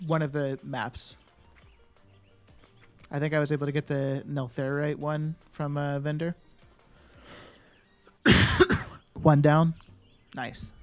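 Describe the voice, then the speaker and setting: A man's voice: a little high, flat delivery, slow pace, long pauses, a little mellow, clean tone, faint music behind. A man live-streaming a game, chatting casually with viewers. He thinks aloud and answers chat with lots of fillers and restarts.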